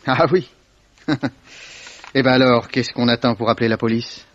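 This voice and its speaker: An actor playing a worldly, evasive Swiss salesman in a 1950s French radio mystery, sardonic, unhurried and given to repeating himself. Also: medium pitch, steady tone, a bit bright, slight background noise, natural voice. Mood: dismissive, amused. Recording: dull, dry vintage radio recording.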